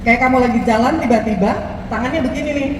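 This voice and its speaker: A woman's voice: a little high, fast talker, background noise. A woman coaching models on posture and walking, conversational and asking rhetorical questions. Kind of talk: instructive coaching talk. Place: large echoey mall event space. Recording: echoey hall audio from far off.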